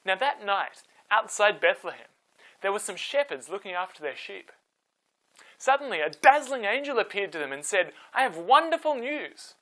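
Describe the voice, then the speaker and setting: Bright, animated male storyteller. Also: high-pitched, moderate pace, rough recording. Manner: expressive, dramatic delivery. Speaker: storyteller and host. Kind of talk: scripted children's Bible storytelling.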